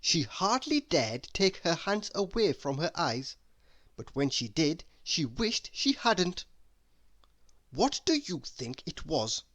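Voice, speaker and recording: A storybook narrator reads for young children with a bright, forward voice. The delivery is unhurried and expressive, with pitch that moves around in a lively way. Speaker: storybook reader. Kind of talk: children's read-aloud narration.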